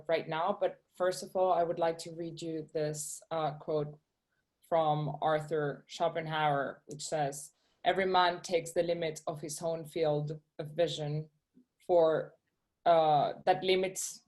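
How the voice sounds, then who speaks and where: A woman from Venezuela speaking English, giving an eager talk to schoolchildren as a guest shoe designer. She talks over a video call and often checks in with "okay?". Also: fairly low pitch. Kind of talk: presentation to schoolchildren.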